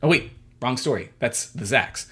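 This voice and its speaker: Man in his thirties, podcast co-host: bright, forceful timbre, meandering delivery with lots of restarts. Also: mid-range voice, lively intonation, husky. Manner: rambling, halting, full of fillers and restarts. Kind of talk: casual comedic chat.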